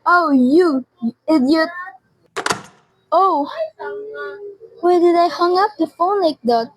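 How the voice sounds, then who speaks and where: A woman's voice: very high-pitched, slow pace, dry recording, low-quality recording. A teenage girl playing an anxious, demanding, self-pitying bedridden wife in a radio suspense drama, insistent and stammering. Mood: distraught.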